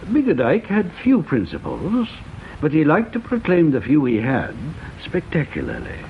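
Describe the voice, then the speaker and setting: An actor playing an urbane, bookish English gentleman in a radio drama, speaking with wry, erudite precision. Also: fairly high pitch, huge pitch swings, normal speed, phone-quality sound, a little hiss or hum. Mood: wryly amused.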